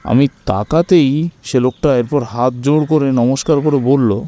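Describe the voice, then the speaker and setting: Radio drama: earnest, chatty male hobbyist, proud of his kites, very soft dark tone man acting. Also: mid-range voice, measured pace, lightly acted. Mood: recounting. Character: Fatikbabu, keen kite-flying man, new tenant, earnest and proud. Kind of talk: radio audio-story acting, conversational storytelling.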